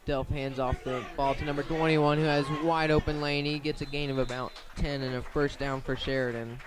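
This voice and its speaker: A man with a medium-pitched voice commentates on high school football for radio. His intonation stays fairly even and narrow. He lays out each play step by step in a measured manner, leaving pauses as he goes.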